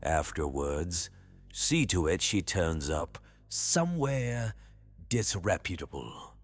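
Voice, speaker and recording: Man playing a theatrical scheming tyrant, slow and swooping. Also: sits low, sing-song, no room sound, clean studio sound, exaggerated voice. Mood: coldly menacing. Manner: theatrical, deliberate, menacing. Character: scheming, power-hungry male world president, coldly villainous. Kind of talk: audio drama acting. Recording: dramatic music underneath.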